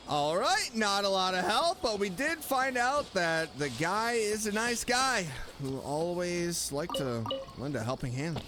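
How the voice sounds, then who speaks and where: A man doing casual, playful gameplay commentary as a streamer, talking back to the game's characters. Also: sits high. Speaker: streamer, gaming host. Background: faint game music.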